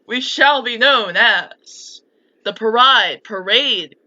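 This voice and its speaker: A woman's voice: fairly high pitch, takes it slowly, bright, forceful tone, highs cut off, full character voice. A woman voicing a giddy, sarcastic young noblewoman from a visual novel, exuberant and dramatic. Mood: gleeful scheming.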